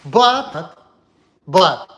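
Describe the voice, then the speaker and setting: Man with a Russian accent, high-pitched, blunt, heated, casual stream chat. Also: sing-song, very slow, highs cut off. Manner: blunt, heated, speaks in broken English.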